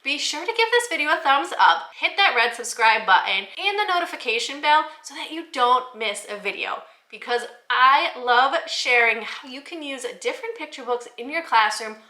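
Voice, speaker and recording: A woman's voice: high voice, lively intonation, normal speed, hardly any pauses, bright, forceful tone, reverberant. A woman hosting a review channel in an enthusiastic, explanatory way, speaking directly to viewers in an upbeat presenting style. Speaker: host of a children's book review channel. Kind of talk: upbeat scripted vlog presentation to teachers.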